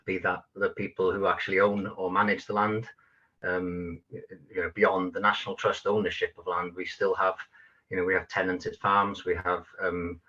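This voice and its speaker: A man's voice: low-pitched, flat delivery, normal speed, bright, forceful tone, highs cut off. A man from North Wales with a Welsh accent, giving an online talk with many hesitations and restarts. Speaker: guest speaker, project manager.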